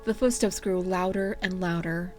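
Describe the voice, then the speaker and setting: A woman's voice: fairly low pitch, steady tone, moderate pace, darkish tone. Woman reading fan fiction over music. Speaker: fan fiction reader and channel host. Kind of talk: casual intro and storybook-style narration.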